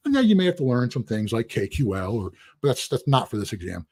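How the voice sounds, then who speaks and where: Husky-voiced man teaching live. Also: mid-range voice, big pitch swings, fast, darkish tone, no room sound. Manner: rambling, conversational, restarts and asides. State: losing his voice. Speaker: instructor. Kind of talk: casual live-stream lecture.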